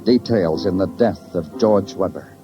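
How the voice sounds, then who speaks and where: An actor playing a world-weary, sardonic police detective in a 1950s radio crime drama, with terse, poetic narration. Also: male voice, low-pitched, a little slow. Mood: grim, terse. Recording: dull old radio recording, music behind.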